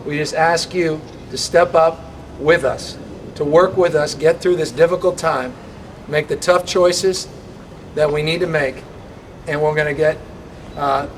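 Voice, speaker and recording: A man speaking as mayor at an outdoor event, measured and reassuring. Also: on the high side, steady tone. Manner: measured, reassuring, level delivery. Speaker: mayor giving remarks. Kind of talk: public speech. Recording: distant mic with faint noise.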